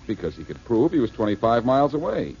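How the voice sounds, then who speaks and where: An actor playing a confident detective who explains how a crime was done, in a 1940s radio drama. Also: male voice, mid-range voice, sing-song, fast talker, a little mellow. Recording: dull 1940s radio recording.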